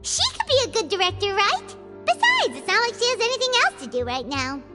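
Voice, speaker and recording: Over loud, tinkling game music comes an extremely high, squeaky little fairy girl. She is eager and childlike, bouncing through her lines with a chirpy, animated lilt. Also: brisk, full character voice. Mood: eager, cheerful. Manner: speaks of herself in third person, chirpy. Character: tiny, excitable fairy-like female companion, childlike and eager. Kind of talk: video game voice acting.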